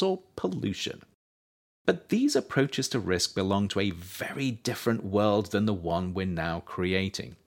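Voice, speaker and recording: A man reading his own nonfiction book aloud as scripted audiobook narration, with measured, emphatic phrasing. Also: deep voice.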